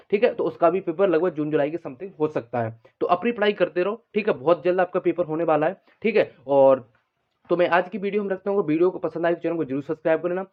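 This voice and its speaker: Male video host, slightly high voice, rapid informal delivery, repetitive explaining. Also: phone-quality sound. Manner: repetitive, explanatory, frequent check-in tags. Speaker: exam-news video host, coaching aspirants. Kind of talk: informal unscripted exam update monologue.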